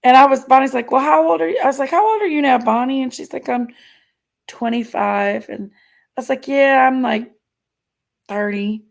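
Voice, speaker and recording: A woman hosts a casual live stream, chatting frankly and giggling as she answers viewers. Her voice sits a little high and carries a gravelly fry. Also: moderate pace. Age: 40s. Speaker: livestream host. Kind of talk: casual chat reading viewer comments.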